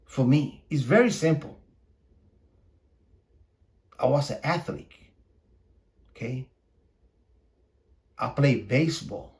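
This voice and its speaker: A man who is a native Spanish speaker hosting a podcast, delivering an opinionated casual monologue in a blunt, profane, repetitive and halting manner. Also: mid-range voice, a little mellow. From Puerto Rico. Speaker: podcast host.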